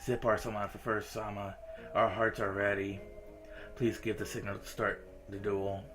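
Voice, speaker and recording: A man's voice: fairly low pitch, flat delivery, moderate pace. A man reading visual novel dialogue aloud as a game streamer, in a casual, informal manner. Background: visual novel game music.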